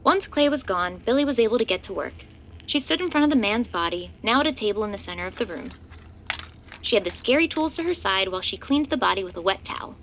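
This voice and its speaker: A woman narrates a scripted drama in third person. Her delivery is very varied in pitch with a gravelly creak, over faint noise. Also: lively intonation, quick pace, fairly bright tone, low-quality recording.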